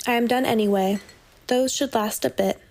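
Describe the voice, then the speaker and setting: A woman's voice: a little high, moderate pace, darkish tone, natural voice. An actress playing a sly, unbothered teenage witch with a morbid curiosity, teasing in formal period audio drama style.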